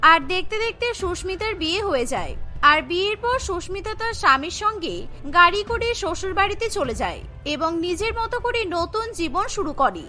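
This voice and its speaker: Woman narrating a cartoon story, really high bright voice, music behind. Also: big pitch swings, brisk.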